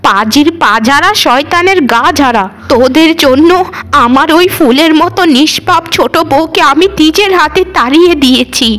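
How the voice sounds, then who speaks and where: A woman's voice: sits high, normal speed, a bit bright, faint music behind. A woman voicing a stern old village mother-in-law in a cartoon, declaiming dramatically. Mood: furious scolding. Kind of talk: cartoon voice acting.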